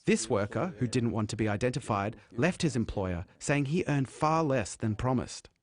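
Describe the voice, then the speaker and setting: A man voices a scripted news report. His pitch is medium for a man and he reads as a reporter. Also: animated, normal speed.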